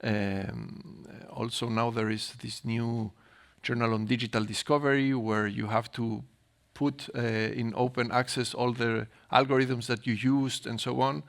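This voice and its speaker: A man speaking as a research panelist, answering informally in halting phrases and often ending on a questioning tag. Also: medium pitch, level tone, creaky. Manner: halting, tags sentences with "no?".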